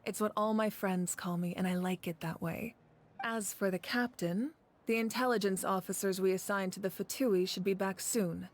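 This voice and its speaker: An actress playing a confident, caring woman who leads warriors in a video game, speaking in a composed, resolute and measured way. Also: mid-range voice, steady tone, natural voice. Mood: warm, teasing. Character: confident, caring warrior leader woman, former fire deity. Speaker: game character. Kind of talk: scripted video game voice acting. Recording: game audio with background music.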